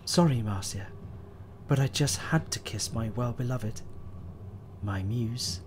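A man's voice: medium pitch, slow pace, darkish tone, a little hiss or hum, natural voice. A man playing an eager, lovestruck young sculptor in a period audio drama.

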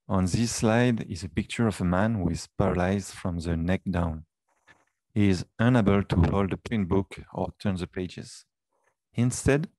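Low-pitched man presenting, dry sound. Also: darkish tone, no room sound. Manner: non-native English phrasing. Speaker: webinar presenter. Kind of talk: slide presentation, explanatory. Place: indoors, webinar call.

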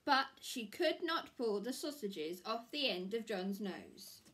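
A woman's voice: fairly high pitch, big pitch swings, takes it slowly, a bit bright. A woman in her thirties reading a children's picture book expressively, like a primary school teacher, in a small room at home.

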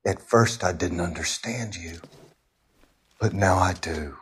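An actor playing a deranged, dreamy arsonist who speaks deliberately and with eerie messianic calm, in a crime game. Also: male voice, low voice. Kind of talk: dramatic period crime game acting.

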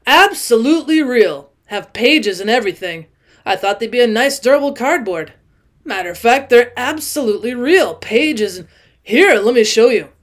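Give me a woman playing an excitable drunk middle-aged man, loud and insistent, on the high side. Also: moderate pace, close to an everyday voice. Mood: excited drunk. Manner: loud, emphatic, rambling repetition.

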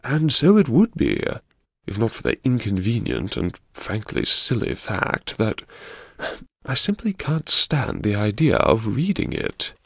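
Man playing a slow, genteel, troubled gentleman diarist. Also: on the low side, huge pitch swings, vocal fry, husky, dry recording, studio quality, heavily acted. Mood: wry, confessional. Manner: mannered, hesitant, politely formal. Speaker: audiobook narrator. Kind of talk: first-person dramatic audiobook narration.

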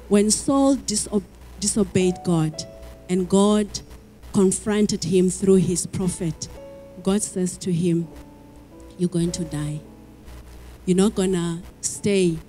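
A woman's voice: mid-range voice, mellow. A middle-aged woman preaching a sermon into a handheld mic on a church hall stage, earnest and repeating words as she searches for phrasing.

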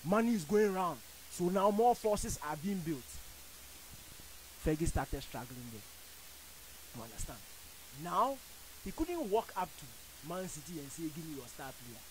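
A man's voice: fairly high pitch, very animated, measured pace, pauses often, slight background noise, low-quality recording. A man with a Ghanaian accent, arguing football as a panelist on a radio studio show, emphatic and theatrical. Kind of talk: animated studio debate. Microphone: studio boom mic with headphones.

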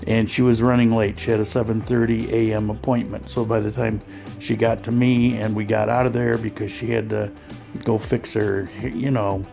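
A man narrating his vlog as a voice-over, relaxed and conversational, with frequent fillers and restarts. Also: mid-range voice, gravelly. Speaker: vlogger. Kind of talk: voice-over narration of a clinic visit.

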